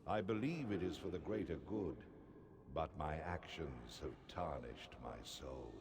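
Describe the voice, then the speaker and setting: A very low-voiced ancient god from a fantasy game, grave and commanding. He speaks formally and deliberately, weighed down by regret. Clear ambient noise sits behind him. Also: animated. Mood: solemn regret. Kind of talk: scripted fantasy game acting. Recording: noticeable background ambience noise.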